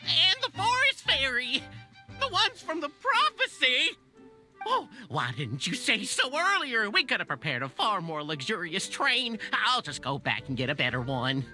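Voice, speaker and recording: A courteous little toy train conductor in a video game. The delivery is theatrical and formal, swinging dramatically up and down. The tone is sharp and very bright, over light music. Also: female voice, a little high, sing-song, a little slow, exaggerated voice. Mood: flustered, awed. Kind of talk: animated game voice acting.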